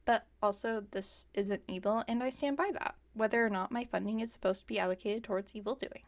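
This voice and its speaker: A woman's voice: mid-range voice, a little fast, close to an everyday voice. A woman playing a playfully defensive, stubborn villain inventor in an ASMR roleplay, chatting and teasing with mock innocence. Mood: smug, defensive. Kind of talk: ASMR roleplay, one-sided conversational acting.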